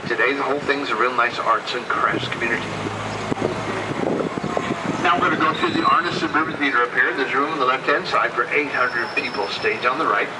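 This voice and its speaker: An older man with an upstate New York accent narrates a river boat tour, folksy and fond of little jokes. His voice is caught from some distance away by a camera among the passengers. Loud outdoor noise runs underneath. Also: medium pitch, brisk. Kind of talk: tour guide commentary. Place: open-air tour boat on a city river.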